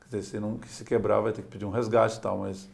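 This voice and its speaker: Gravelly low-voiced man from Santos. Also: level tone, quick pace, a little mellow. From Santos, Brazil. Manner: reflective, tells stories with small laughs and "né?". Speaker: sailor and adventurer. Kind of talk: relaxed interview talk.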